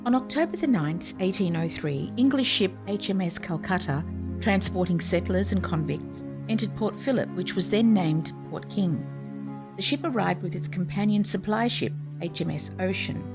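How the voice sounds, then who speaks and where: A woman giving clear, even, scripted narration for a series of historical radio plays. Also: mid-range voice, moderate pace. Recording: music playing behind. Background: music.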